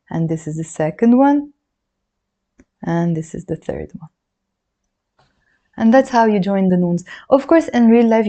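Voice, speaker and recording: A native Arabic-speaking woman with a Lebanese accent talks a class through writing letters. Her voice is mellow and soft, set at an ordinary middle pitch. She chats along, encouraging and counting strokes as she goes. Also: lo-fi sound. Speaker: Arabic teacher. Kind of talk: handwriting lesson, demonstrating while talking.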